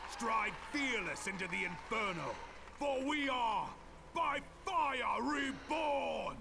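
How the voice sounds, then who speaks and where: A gravelly, proud general rallies his people in a fantasy game. His pitch is high and swings widely over clearly noisy ambience. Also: animated, takes it slowly, heavily acted. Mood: rousing, proud. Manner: declamatory, proud. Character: rousing warlike general rallying his people. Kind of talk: video game battle oratory.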